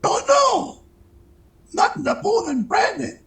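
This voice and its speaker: A man playing an exasperated, wisecracking valet in an old-time radio comedy, with a raspy squawk. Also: very high-pitched, very animated, slow pace, a bit bright, heavily acted. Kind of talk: 1940s radio comedy acting.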